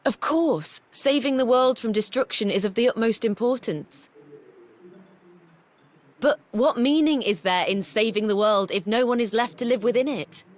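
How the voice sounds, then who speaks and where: A woman's voice: a little high, normal speed, lightly acted. A woman playing an earnest, compassionate warrior spirit in a video game, formal and deferential toward a goddess. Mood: earnest, resolute. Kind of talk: video game cutscene acting.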